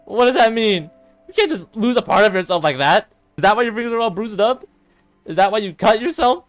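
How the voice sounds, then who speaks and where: A man's voice: sits high, expressive, a little fast, soft background music, voice pushed up. A man voicing a frantic, loyal teenage girl who pleads emphatically in a dramatic visual novel performance. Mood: alarmed.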